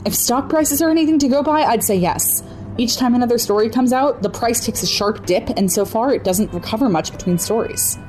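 Woman playing a measured, analytical team briefer. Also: fairly high pitch, darkish tone, faint music behind, lightly acted, poor audio. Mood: matter-of-fact. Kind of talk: audio drama dialogue.

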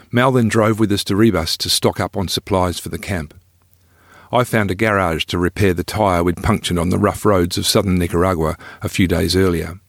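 A man's voice: low voice, vocal fry, no echo, studio quality. A middle-aged man reading his own travel memoir as scripted first-person narration.

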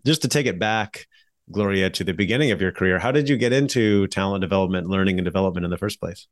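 Deep-voiced man hosting an interview. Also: fast talker, no echo. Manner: affirming, encouraging, conversational. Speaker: interview host. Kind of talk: interview conversation.

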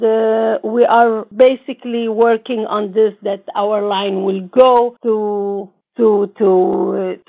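A woman from the Middle East, a fashion designer speaking from home on a call, talking in chatty, non-native English and often laughing. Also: medium pitch. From Middle East, born in Lebanon, raised partly in Israel. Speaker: fashion designer and brand owner, guest. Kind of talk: casual interview chat. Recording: slightly muffled lo-fi audio.

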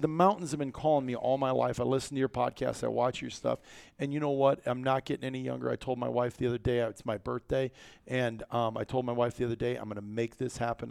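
American guy on a podcast, gravelly fry in his voice, rambles and leans hard on his points, dry sound. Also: mid-range voice, darkish tone, no echo. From rural Iowa, United States. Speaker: podcast host and company founder. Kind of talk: casual podcast chat.

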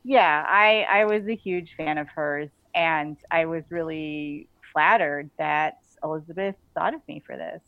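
A woman's voice: low voice, a little slow, hardly any pauses, no room sound. A woman chatting casually and enthusiastically as an interview guest, in an informal way. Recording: narrow phone-like call audio.

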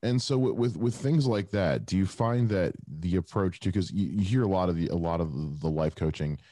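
A man hosting an interview, asking casual, halting questions with many restarts. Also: low-pitched, dark tone, no echo. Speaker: interview host.

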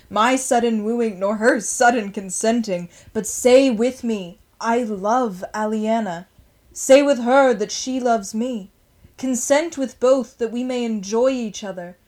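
A woman playing an earnest young gentleman in a Shakespearean radio play, speaking measured verse. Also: on the high side, close to an everyday voice. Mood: earnest pleading. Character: Oliver, young gentleman, earnest reformed elder brother.

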